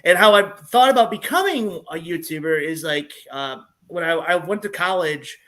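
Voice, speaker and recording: A man's voice: on the high side, expressive, moderate pace, a bit bright. Rambling man with a country accent. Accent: Southern US country. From Illinois. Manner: friendly, rambling, restarts a lot. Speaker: guest vlogger. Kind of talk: casual interview talk.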